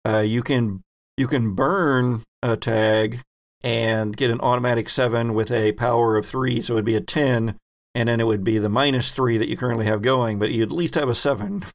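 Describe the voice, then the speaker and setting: Low-key creaky-voiced man running a game. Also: normal speed, no room sound, studio quality. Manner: conversational, explanatory, jokey asides. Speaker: game master and host. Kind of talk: tabletop game narration and rules talk.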